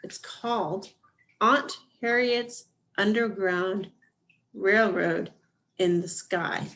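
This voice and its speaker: A woman reading a children's picture book aloud expressively, with deliberate phrasing and drawn-out emphasis. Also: mid-range voice, a bit bright, rough recording. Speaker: picture book reader, planetarium director.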